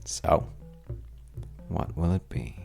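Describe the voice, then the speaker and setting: A man playing a wry, roguish, well-dressed stranger at a bar in an intimate audio roleplay, teasing and telling stories with dramatic pauses in a gravelly voice. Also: music in the background, natural voice. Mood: playful, inviting. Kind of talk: intimate audio roleplay monologue addressed to the listener.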